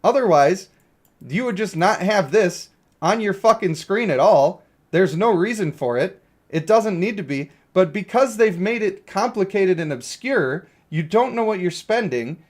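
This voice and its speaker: A man's voice: high voice, lively intonation, normal speed. A man giving casual, opinionated livestream commentary on a mobile game, blunt and given to exasperated ranting. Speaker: game streamer.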